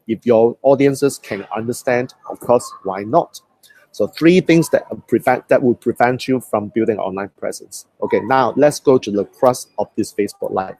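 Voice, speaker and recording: Male coach, Hong Kong accent, native Cantonese, mellow timbre, punchy rhetorical questions. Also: medium pitch, no echo. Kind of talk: Facebook Live talk.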